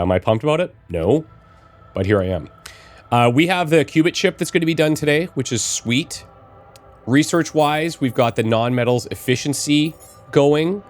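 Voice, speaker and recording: Slightly raspy man with a cold. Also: medium pitch. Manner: musing aloud, planning with fillers. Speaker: let's play host. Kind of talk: casual gameplay commentary.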